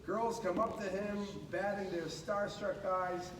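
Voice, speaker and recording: A high-voiced man reads a storybook aloud with dramatic pauses and drawn-out emphasis. He is some distance from the mic in an echoey room, with clear noise behind him. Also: fairly even intonation. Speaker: radio host reading a storybook aloud. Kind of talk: animated read-aloud of a children's picture book.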